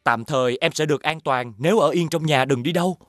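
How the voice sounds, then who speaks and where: An actor playing a brave, protective young male hero in an animated fairy tale, earnest and dramatic. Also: a little high, fast talker, lightly acted. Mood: resolute, reassuring. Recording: loud music behind the voice.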